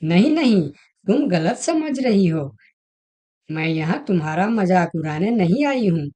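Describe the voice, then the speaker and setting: A persuasive female animal from a cartoon fable acted by a woman. Her voice is slightly low and mellow. She takes her words very slowly. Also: very animated. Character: female talking animals, fox and elephant, in a fable. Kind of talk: Hindi animated moral story dialogue.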